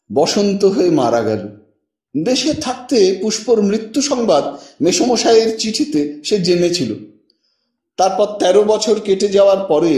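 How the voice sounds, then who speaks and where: A man reading a literary story aloud as an audiobook narrator, with measured, dramatic phrasing and deliberate pauses. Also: high voice, a little mellow. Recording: roomy echo, distant from microphone.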